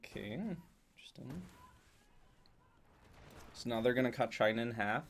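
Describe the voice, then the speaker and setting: A man's voice: medium pitch, animated, measured pace, slight background noise. A man giving casual live commentary on a strategy game, thinking aloud as he goes.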